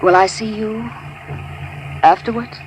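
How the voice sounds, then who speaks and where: A woman's voice: mid-range voice, fairly even intonation, unhurried, lightly acted. An actress playing a guarded, sceptical woman in a 1940s radio crime drama. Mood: softening. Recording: noisy old 1940s radio broadcast.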